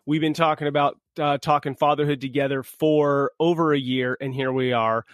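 A man's voice: on the high side, fairly even intonation, moderate pace, dry recording, clean studio sound. A man in his forties hosting a podcast, friendly and upbeat, in easy casual chat. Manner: upbeat, friendly, laid-back. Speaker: podcast host.

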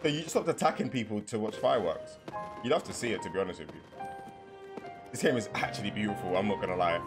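A young man giving casual, slangy, excitable reaction commentary with some swearing, as a streamer at home. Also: fairly low pitch, fast talker. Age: young adult. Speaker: reaction video host and streamer. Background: game trailer music and sound.

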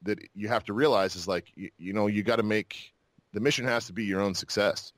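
A low-pitched American man chats as an interview guest. He talks reflectively, feeling his way through sentences as he tells his story. The sound is dry and close. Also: steady tone, fairly quick, dry recording. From Utah and New Mexico, United States. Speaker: podcast guest, actor and army veteran. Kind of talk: casual interview conversation.

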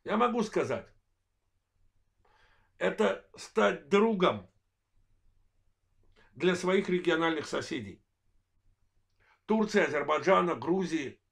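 A man in his forties from Azerbaijan with a worn voice, delivering a political analysis monologue in measured, halting short phrases as host of his own channel. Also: fairly high pitch, animated, vocal fry. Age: 40s. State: voice worn, apologizes for it.

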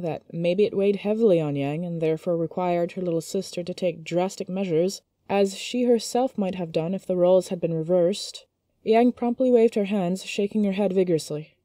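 Woman reading a story, soft mellow tone, dry close sound, expressive, medium pitch. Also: normal speed, no echo. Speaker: narrator. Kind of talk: scripted story narration.

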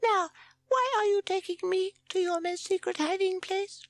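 A very high, squeaky baby bird. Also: female voice, normal speed, dry recording. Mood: cross, proud. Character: tiny cross, proud, inquisitive newborn baby bird.

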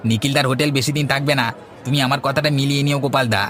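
A man's voice: mid-range voice, steady tone, very fast, fairly bright tone, some chatter behind, slight background noise, close to an everyday voice. A man playing an eager, outspoken young eatery worker in a cartoon. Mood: eager, flattering. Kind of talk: Bengali cartoon dialogue.

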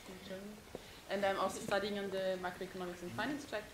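A woman's voice: mid-range voice, level tone, fast talker. A young woman student in the audience of a lecture hall, asking a long question with many hesitant fillers. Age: young adult. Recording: roomy lecture hall with faint hiss.

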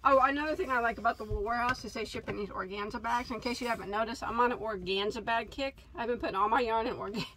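A woman's voice: fairly high pitch, bright, forceful tone, slight background noise, rough recording. A woman in her thirties from Illinois, hosting a casual yarn haul chat in a craft room, enthusiastic and laughing at herself. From Illinois, USA. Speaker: crochet channel host.

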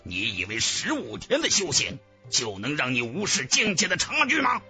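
A man's voice: medium pitch, huge pitch swings, moderate pace, pushed and bright, voice pushed down, full character voice. A man voicing an arrogant, belligerent martial-arts fighter in a fantasy audiobook, boastful and taunting. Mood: scornful challenge. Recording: music bed under the voice.

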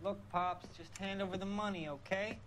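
A man's voice: high-pitched, steady tone, normal speed, background noise, close to an everyday voice. A man playing a jumpy young 1940s stickup man in a video game, slangy and tough-talking. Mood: threatening. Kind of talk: video game drama dialogue.

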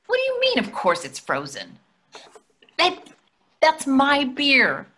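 A woman's voice: sits high, wildly swooping pitch, slow pace, bright, forceful tone, exaggerated voice. An older woman playing a hungry, nagging wife who questions everything in a staged comedy reading over a video call. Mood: fretful. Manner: querulous, questioning. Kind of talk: readers theatre comedy acting. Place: indoor room on a video call. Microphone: video call microphone.

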